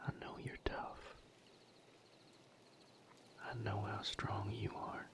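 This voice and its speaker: A man playing a protective, tender boyfriend in an intimate audio roleplay, speaking gently and earnestly in a breathy, creaky near-whisper. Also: fairly low pitch, completely flat delivery. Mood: tender pleading. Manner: slow, gentle, earnest, firm. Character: protective, tender male boyfriend tending his hurt partner.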